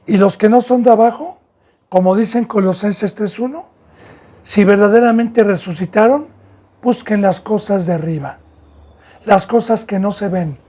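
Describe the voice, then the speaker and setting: A man from Mexico preaching a fervent sermon as pastor, swinging between loud and soft and sometimes stumbling over his words. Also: high-pitched, normal speed.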